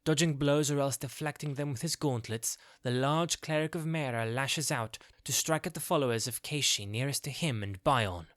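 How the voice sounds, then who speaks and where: A man's voice: mid-range voice, normal speed, hardly any pauses, fairly bright tone, no room sound. Dry-recorded man narrating fantasy.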